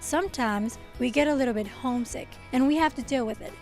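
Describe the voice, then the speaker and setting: High-voiced woman presenting a segment. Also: fast, darkish tone, faint music behind. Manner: friendly, direct address. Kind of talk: scripted intro.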